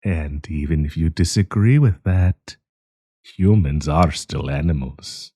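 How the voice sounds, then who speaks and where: A man playing a sinister, possessive werewolf professor in an intimate audio roleplay, coaxing and menacing, with a husky, breathy voice. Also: extremely low pitch, warm tone, dry recording, full character voice. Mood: menacing, amused. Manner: slow, coaxing, menacing endearments.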